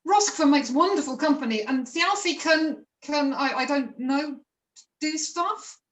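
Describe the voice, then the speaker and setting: A woman's voice: high-pitched, measured pace, roomy sound. A woman playing a timid, pious peasant father who pleads and grovels in an amateur myth play reading. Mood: pleading.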